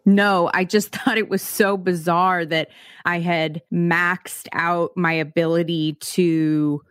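A woman's voice: fairly low pitch, little pitch movement, slow pace, a bit bright, clear, resonant tone, dry recording. Chatty woman host, dry close sound. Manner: chatty, frequent "like" fillers, encouraging. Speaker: podcast host. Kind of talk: casual interview.

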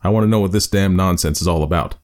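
A man's voice: low-pitched, quick pace, darkish tone, close to an everyday voice. Guy doing a nervy lonely spaceman talking himself in circles. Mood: anxious. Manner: nervous, arguing with himself. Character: lonely stranded male space explorer, anxious.

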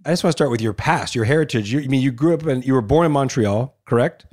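Man interviewer, fast talker, middle pitch. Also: a little mellow. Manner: quick, laughing, repeating himself. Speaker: co-host interviewer. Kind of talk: casual interview.

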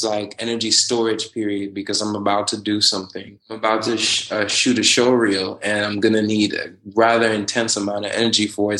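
A man in his twenties from Trinidad and Tobago, talking casually as a podcast guest, animated and quick to laugh. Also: lowish voice, low-quality recording. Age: 20s. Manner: animated, laughs often, spiritual slang-heavy talk. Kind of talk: casual conversational interview.

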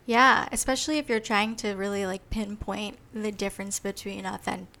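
A woman co-hosting a podcast in casual chat, thoughtful and quick to laugh, with frequent fillers. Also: medium pitch, fairly even intonation, creaky, bright, forceful tone.

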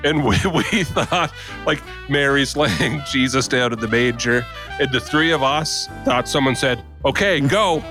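A man co-hosting a casual podcast, telling a story in an animated way and laughing as he goes. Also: medium pitch, measured pace, music in the background. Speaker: podcast co-host.

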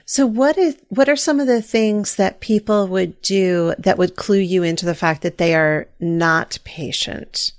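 A woman interviewer asks gentle, measured questions at a mid pitch. She keeps the conversation going with brief affirmations.

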